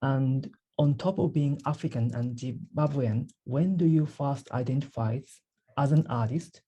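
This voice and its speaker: A man's voice: mid-range voice, unhurried, mellow, slightly rough. A man from Japan politely asking interview questions, thanking the guest often and stumbling a little. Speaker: student interviewer.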